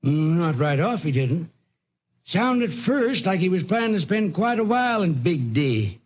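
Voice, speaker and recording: High-voiced folksy Texas farmer. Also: male voice, sing-song, a little slow, heavily acted. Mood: casual. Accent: Texan. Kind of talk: 1950s radio drama acting. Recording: muffled vintage radio.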